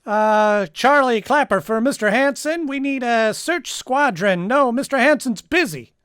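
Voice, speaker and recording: Man acting a junior radar operator, radio drama, extremely high clear voice, brisk, dutiful. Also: fairly bright tone, dry recording, clean studio sound.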